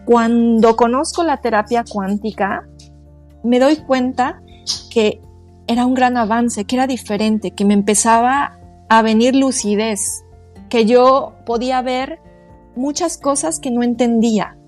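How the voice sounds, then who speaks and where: A woman's voice: a little high, a little mellow, faint music behind. Earnest woman in her forties. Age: 40s. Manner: halting, searching for words, earnest. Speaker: guest, quantum therapist. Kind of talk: casual interview conversation.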